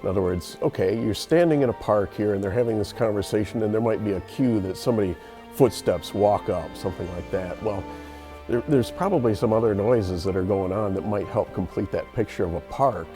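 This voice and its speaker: A man involved in running a radio theater, giving halting, thoughtful explanations in an interview. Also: on the low side, over music. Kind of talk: interview answers.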